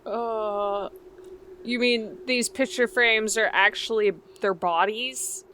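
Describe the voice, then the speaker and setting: Bright-voiced woman playing a tabletop game. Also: a little high, fairly even intonation, takes it slowly, a little hiss or hum. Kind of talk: casual improvised game talk.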